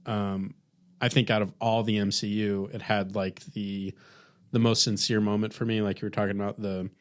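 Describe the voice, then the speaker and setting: A man co-hosting a podcast, giving his opinions casually in a halting, understated way. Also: fairly low pitch, level tone. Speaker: podcast co-host. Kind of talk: casual TV review chat.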